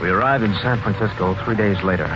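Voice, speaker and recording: A man's voice: fairly low pitch, fast, natural voice. An actor playing an earnest young man who narrates a murder mystery and acts in it, in 1940s radio style. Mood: tense narration. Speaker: narrator and lead character. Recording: muffled 1940s radio, loud music behind.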